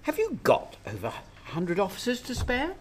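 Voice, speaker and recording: Radio drama Prime Minister, acted: anxious, self-serving, very lively intonation. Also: medium pitch, normal speed, a little hiss or hum. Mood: sceptical.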